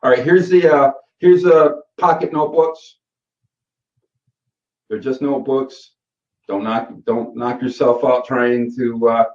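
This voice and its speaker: A man's voice: mid-range voice, darkish tone. A man in his forties with a Michigan accent, hosting a live course Q&A in a rambling, folksy way full of fillers and self-corrections. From Michigan, USA. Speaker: course host. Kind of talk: casual live Q&A session. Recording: roomy, distant and muffled webinar audio.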